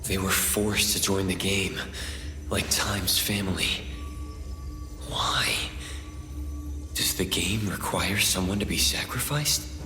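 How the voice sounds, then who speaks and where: A man's voice: low-pitched, fairly even intonation, slow, pauses often. A man playing an inquisitive, thoughtful investigator hero in a video game, questioning and pondering as he talks. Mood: puzzled, pondering. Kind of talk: scripted game dialogue. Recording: reverberant hall with music behind.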